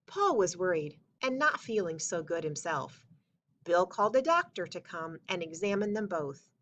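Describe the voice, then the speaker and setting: Female storybook reader: bright, very animated, expressive, children's read-aloud style. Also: fairly low pitch, moderate pace, muffled recording.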